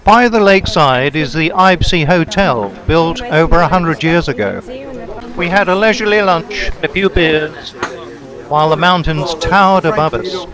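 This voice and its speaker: A man reading a scripted travelogue voice-over in a measured, descriptive storytelling way. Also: a little high, a crowd in the background. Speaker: travelogue narrator. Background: background music.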